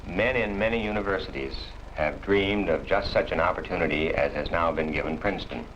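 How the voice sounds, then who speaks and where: A man with a very deep voice delivers a formal statement as an academic director. He phrases it deliberately in long sentences, on a muffled old film soundtrack with slight noise. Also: a bit bright. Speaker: university program director. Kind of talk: formal prepared address.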